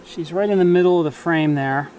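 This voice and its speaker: A man's voice: a little high, a little fast, darkish tone, a little hiss or hum. A man in his forties casually narrating his work as a beekeeper, talking partly to himself, recorded outdoors. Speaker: beekeeper vlogger. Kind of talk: casual narrated hive inspection. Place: outdoors in a grassy bee yard. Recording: outdoor ambience with buzzing insects. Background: buzzing bees and insects.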